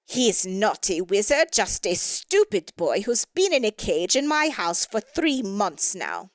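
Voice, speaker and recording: A woman's voice: medium pitch, very animated, unhurried, dry recording. A woman voicing a cunning, spiteful old witch in a storybook reading, hissing and suspicious. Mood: sly, scornful. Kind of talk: storybook character voice in audio theatre.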